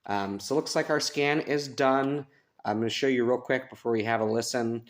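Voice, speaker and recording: A man's voice: medium pitch, normal speed. A man giving a casual, chatty hands-on product review, rambling and self-deprecating as he goes. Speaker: radio reviewer. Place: sunroom at a house.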